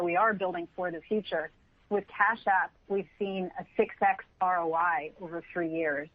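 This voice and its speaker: A woman's voice: lowish voice, no echo. Woman executive on a muffled conference line. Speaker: company finance executive on an earnings call. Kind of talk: prepared business explanation on an earnings call.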